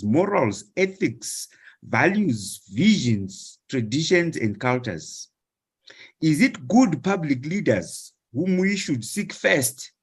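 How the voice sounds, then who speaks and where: Slow, husky man. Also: on the high side. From Zimbabwe. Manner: slow, hesitant, long lists of words. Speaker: webinar participant. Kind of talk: online discussion question.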